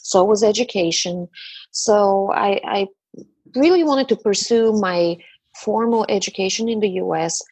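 A woman with a Bulgarian accent speaks with a slightly husky, breathy voice. She chats as a guest, with frequent fillers and laughs. The sound is dry and close. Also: medium pitch, unhurried, a little mellow, no echo, rough recording. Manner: chatty, laughs often, hesitant fillers. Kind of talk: conversational interview.

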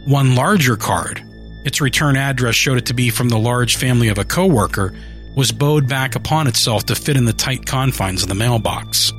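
Guy calmly reading a dark short story, mid voice, faint music underneath. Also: mid-range voice, normal speed. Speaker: story narrator. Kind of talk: scripted horror narration.

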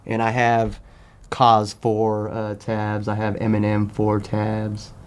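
A man with a fairly low voice in a casual interview. He explains things informally, halting and doubling back on words as he goes. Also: completely flat delivery. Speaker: podcast guest, sneaker customizer.

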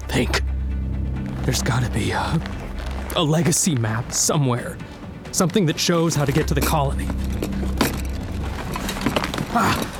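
An actor in a science fiction radio drama playing a bitter, distrustful, desperate man, blunt and intense in his delivery. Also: fairly high pitch. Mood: panicked, desperate.

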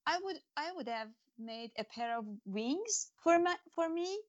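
A woman's voice: sits high, expressive, measured pace, dull audio. A woman speaking English as a second language, an author talking earnestly about faith and her stories in an interview, with many restarts and fillers. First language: not English. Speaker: author and guest. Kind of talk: spiritual interview talk.

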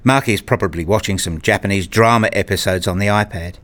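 A man's voice: fairly low pitch, fast talker, a bit bright, close to an everyday voice. A man playing a weary, hurt father who narrates his own story in a radio play. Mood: sombre, measured. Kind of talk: first-person radio play narration and acting.

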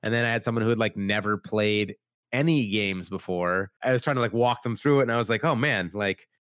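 A man's voice: on the low side, fairly quick, a bit bright, dry recording. Chatty man with a dry, close voice. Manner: rambling, many "like" fillers, acts out quoted lines. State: voice worn after being sick. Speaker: podcast host. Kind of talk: casual chat.

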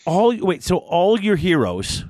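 A man with a stuffed-up, congested voice co-hosts a casual tabletop gaming podcast. His voice sits a little high, and the dry, close mic catches every word. He reads rules aloud in eager bursts and keeps the chat upbeat. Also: very animated, unhurried, darkish tone, dry recording. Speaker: podcast co-host.

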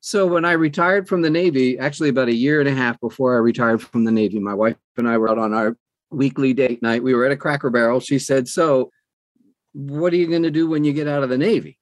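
A man in his sixties talks conversationally as a guest, sharing personal stories. He has a medium-pitched voice and a storyteller's habit of acting out dialogue. The sound is dry and close, with little room in it. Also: quick pace, no room sound. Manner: animated storyteller who acts out quoted dialogue. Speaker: guest, audiobook narrator.

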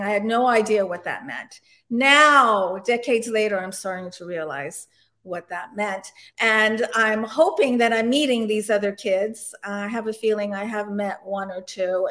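A woman from Turkey, a guest on the show, telling a personal story in an earnest, reflective way with frequent pauses. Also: fairly high pitch.

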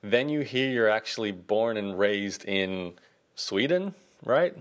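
A man's voice: on the low side, animated, slow pace, fairly bright tone. A man hosting a podcast, chatting casually in a friendly, rambling way with frequent restarts. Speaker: podcast host. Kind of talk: casual interview chat.

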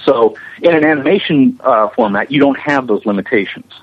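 A man speaking as an interview guest, explaining his animation work with frequent pauses mid-phrase. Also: medium pitch, lively intonation. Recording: muffled, lo-fi audio.

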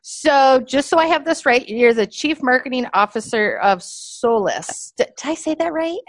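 A woman's voice: sits high, lively intonation, normal speed, no room sound. A woman hosting a podcast, interviewing in a casual, gushing and informal way.

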